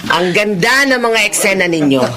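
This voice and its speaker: A woman's voice: medium pitch, sing-song, moderate pace, a loud crowd, lightly acted. A woman with a Filipino accent playing an effusive, bustling film director in a radio drama. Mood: admiring, jovial.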